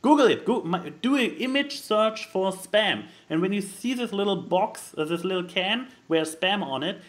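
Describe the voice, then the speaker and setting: A man's voice: high voice, expressive, moderate pace, a bit bright. A man chatting casually as a podcast co-host, emphatic and given to repeating words. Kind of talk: casual chat.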